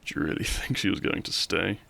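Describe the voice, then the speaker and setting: A man playing a lovestruck, cheeky young bandit outlaw in an intimate romantic audio roleplay, soft and conversational, with a self-deprecating streak. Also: fairly quick, natural voice. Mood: wistful, downcast. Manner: soft, conversational, with sighs and chuckles.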